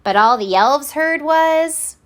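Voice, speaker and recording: A woman reading a children's story aloud in an expressive, theatrical way, landing deliberately on key words. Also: high-pitched. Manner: theatrical, deliberate, emphatic word by word. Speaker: storybook reader.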